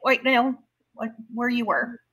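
A woman's voice: on the high side, fairly even intonation, a little slow, a bit bright, breathy edge, poor audio. A woman playing a tabletop game over a video call, playful and fond of silly sound effects. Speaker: player.

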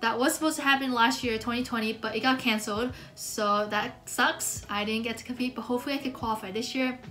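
A woman's voice: fairly high pitch, fairly even intonation, quick pace, bright, forceful tone, faint music behind. Chatty eighteen-year-old woman, light voice. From United States. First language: Mandarin. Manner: chatty, upbeat, many "like" fillers. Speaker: student vlogger. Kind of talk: casual talk to camera. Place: small bedroom, indoors.

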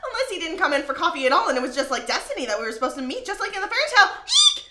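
Hyperactive, fast-gushing schoolgirl. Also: very high-pitched, huge pitch swings, sharp tone, reverberant, exaggerated voice. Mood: panicky, excited. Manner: rambling, breathless gushing. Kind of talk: fan parody dub acting.

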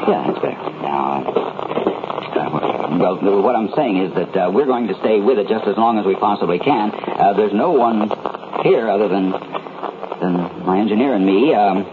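An American man playing a shaken radio newsman in a 1970s radio drama, reporting a catastrophe haltingly and groping for words. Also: on the low side, expressive, natural voice. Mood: bewildered, anxious. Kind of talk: 1970s radio drama as live emergency newscast. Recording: noisy, tinny vintage 1970s radio recording.

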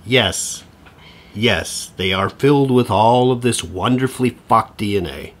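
A middle-aged man playing a sarcastic, frazzled divorced father in a comedic audio drama, husky and exasperated. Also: fairly low pitch, takes it slowly, fairly bright tone. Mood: sardonic. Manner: sardonic, exasperated.

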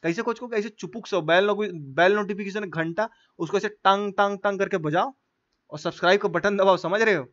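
High-pitched, bright-voiced young male streamer. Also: moderate pace, muffled recording. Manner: chatty, informal slangy talk, coaxing viewers. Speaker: gaming livestreamer. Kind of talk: casual livestream chat with viewers.

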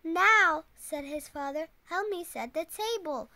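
Very high-pitched young girl reading aloud. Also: expressive, unhurried, sharp tone. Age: child. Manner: stumbles over words while reading. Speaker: storytime host reading aloud to teddy bears. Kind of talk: expressive picture book read-aloud.

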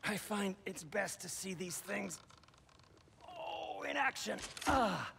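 An actor playing a patient, fussy male inventor who gives measured instructions in a fantasy video game. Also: high voice, very animated, gravelly, bright, forceful tone, slight background noise, exaggerated voice. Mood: eager, instructive.

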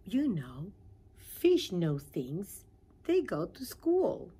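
A woman voicing a small, childlike pollywog full of wonder, in a breathy storybook way. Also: fairly low pitch, wildly swooping pitch, extremely slow, warm tone, full character voice. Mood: wide-eyed.

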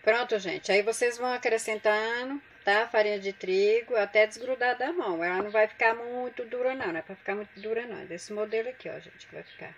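A woman's voice: fairly high pitch, fast talker, few pauses, slight background noise. A woman with a Brazilian Portuguese accent hosting a home cooking tutorial in her kitchen, talking chattily to her viewers as she works. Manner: chatty, addresses viewers as gente. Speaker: cooking channel host.

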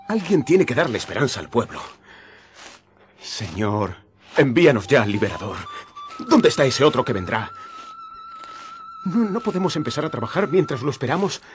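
A man's voice: medium pitch, lightly acted. An actor playing an earnest, passionate young wandering Galilean man in a radio drama. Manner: earnest, expressive.

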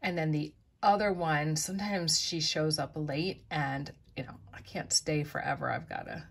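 A middle-aged woman chatting easily through her thrift and flea market finds, with soft asides. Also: low-pitched, fairly even intonation, moderate pace, gravelly. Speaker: vlogger showing thrift finds. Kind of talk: casual show-and-tell narration. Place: indoors at a table, close-up of hands.

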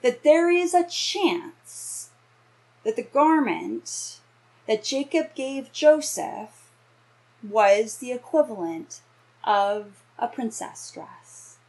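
A woman in her thirties or forties preaching an explanatory sermon in a measured way, pausing between phrases. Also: a little high, sing-song, a little mellow, poor audio. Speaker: minister giving a reflection. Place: small indoor room with bookshelves.

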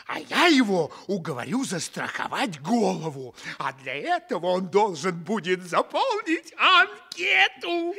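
An actor playing a brisk, wheedling male schemer who repeats himself insistently in a comic radio play. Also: sits high, very animated, vocal fry, pushed and bright, slightly rough, heavily acted. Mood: eager, scheming.

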